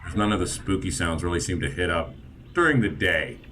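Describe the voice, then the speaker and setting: A man playing a character in a tabletop game, chatting and reacting with lively swings in his voice. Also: sits low, fairly quick, a little hiss or hum. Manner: lively, jumps into excited yelps. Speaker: player.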